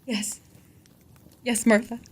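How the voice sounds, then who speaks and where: A woman's voice: on the high side, flat delivery, slow, slightly rough. A woman playing a tender, grieving mother in an audio drama, her words faltering.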